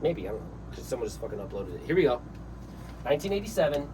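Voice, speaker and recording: A man's voice: mid-range voice, big pitch swings, quick pace. A man co-hosting a casual chat show, rambling and swearing a lot while drinking a beer. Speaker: co-host. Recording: distant mic with room noise.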